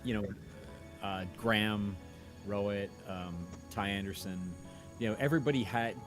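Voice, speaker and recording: A man in casual conversation, rambling and halting in a modest, self-effacing way. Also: on the low side, music in the background. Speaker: audio drama creator and podcast host; university audio teacher. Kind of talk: casual chat.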